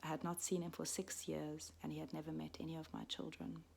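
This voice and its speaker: A woman in her forties, raised in Switzerland, reading a personal story aloud in a soft, reflective way that often drops to a half-whisper. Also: low voice, flat delivery, fairly quick, gravelly, slight background noise. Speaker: midwife and storyteller.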